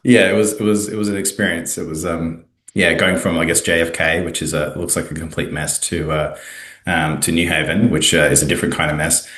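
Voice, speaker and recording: A man in his thirties with an Australian accent, a guest in a casual interview, talking haltingly with many fillers and restarts. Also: deep voice, fairly even intonation, a bit bright, roomy sound. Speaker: guest, academic and author.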